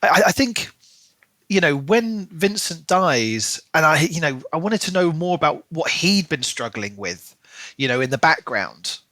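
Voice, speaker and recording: A man's voice: a little high, very animated, a little slow, pushed and bright, no room sound. A man co-hosting a book podcast, recapping and discussing a novel. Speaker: podcast co-host. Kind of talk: book discussion podcast, reading a plot recap then casual chat.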